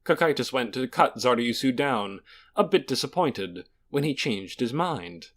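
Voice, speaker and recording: Male narrator for fantasy fiction, dramatic scripted read with very lively, varied intonation. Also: mid-range voice, a little slow. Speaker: audiobook narrator.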